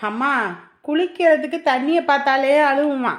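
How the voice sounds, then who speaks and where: A high, echoing voice for a put-upon young mother acted by a woman in a family drama. She grumbles sarcastically about her lot. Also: normal speed, natural voice. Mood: sarcastic grievance. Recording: roomy echo on the voice.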